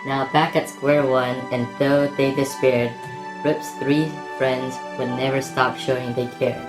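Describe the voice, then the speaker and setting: Very low-voiced woman as a rhyming storyteller. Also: level tone, rough recording. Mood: solemn storytelling. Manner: measured, rhythmic verse delivery. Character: solemn storyteller narrating a quest in rhyme. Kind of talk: scripted rhyming narration. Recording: music behind the narration.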